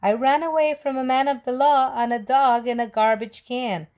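Woman putting on a high, cheeky little gingerbread man. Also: fairly even intonation, moderate pace, muffled recording, natural voice. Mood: gleeful taunting. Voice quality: odd little voice. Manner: sing-song chanting, taunting.

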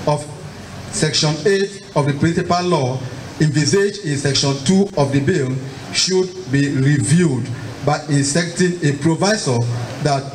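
Nigerian male advocate, slightly high voice, reading a submission in an echoey hall. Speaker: civil society representative. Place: public hearing hall. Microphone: handheld microphone. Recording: echoey hall, faint noise.

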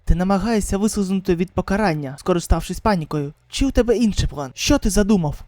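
A manga reader voicing a stern, accusing, rough-tongued man who speaks low and threateningly. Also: lively intonation, fast, dark tone, no echo, lightly acted.

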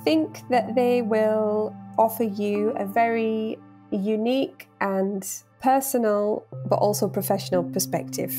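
A woman talks very slowly in a mid-pitched voice, with faint music underneath. She pauses between phrases as she thinks. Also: fairly even intonation. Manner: unhurried, with pauses. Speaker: guest. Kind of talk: casual interview answers.

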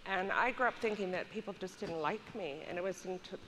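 An older woman speaking plainly and softly about her own life as a panelist on stage. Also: lowish voice, fairly quick. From Vancouver, Canada. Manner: plain, soft-spoken, personal. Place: large stage studio with audience. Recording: long hall reverb with faint noise.

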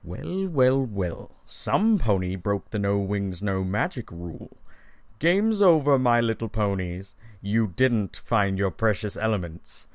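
A man's voice: on the low side, big pitch swings, slow, no echo, voice pushed down. A man voicing a smug, theatrical, mischievous cartoon villain creature with a mocking drawl. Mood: smug gloating.

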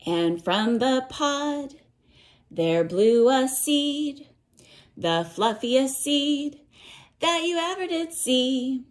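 Slow, higher-voiced woman reading to children. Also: expressive, faint music behind. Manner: sing-song cumulative verse, gentle questioning of young listeners. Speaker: read-aloud storyteller for children. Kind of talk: reading a picture book aloud, with simple explanations for children.

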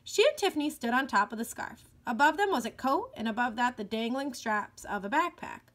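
A woman reading a children's book aloud with clear, expressive storytelling. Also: fairly high pitch, fairly quick. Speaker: elementary school educator.

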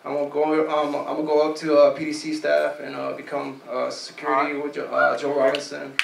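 A young man giving a nervous, halting graduation speech on a church stage, thanking his leaders on a handheld mic. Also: on the high side, completely flat delivery, creaky. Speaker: program graduate. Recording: echoey church hall, far off, noisy.

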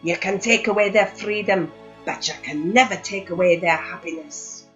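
A woman's voice: lowish voice, moderate pace, fairly bright tone, soft background music, lightly acted. A woman voicing a young male wooden spoon in a storybook, an earnest, rallying leader, in a slightly muffled recording. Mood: rousing.

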